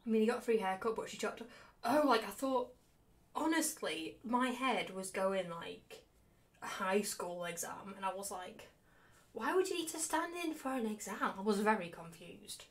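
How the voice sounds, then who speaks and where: A woman's voice: medium pitch, normal speed. A young woman streaming casually, rambling and sweary with a self-mocking streak. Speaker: game streamer. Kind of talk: casual chatty livestream. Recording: loud background music. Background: game music with guitar.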